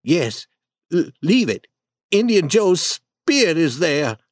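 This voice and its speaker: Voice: mid-range voice, sing-song, slow, no echo, voice pushed up. A storybook reader voicing a timid, superstitious young boy who speaks hesitantly and stammers. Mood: nervous.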